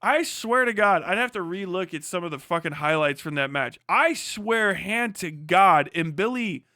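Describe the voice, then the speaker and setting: A man's voice: high voice, expressive, normal speed, pushed and bright, no echo, clean studio sound. A man hosting a livestream with casual, opinionated commentary, rambling and emphatic, swearing freely. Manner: rambling, heavily profane, emphatic. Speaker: livestream host.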